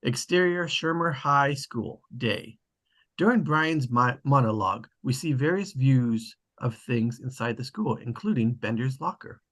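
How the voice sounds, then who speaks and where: Man, husky mid-range voice, informal host, reads action descriptions aloud, online table read. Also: medium pitch, animated, a little slow, a bit bright. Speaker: host and stage-direction reader. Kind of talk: casual hosting and narrated script reading. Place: online video call.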